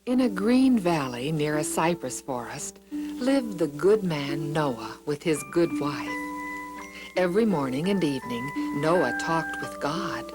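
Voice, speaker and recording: A woman narrating scripted Bible stories for children with deliberate, measured phrasing. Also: low-pitched, animated. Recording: loud music behind the voice.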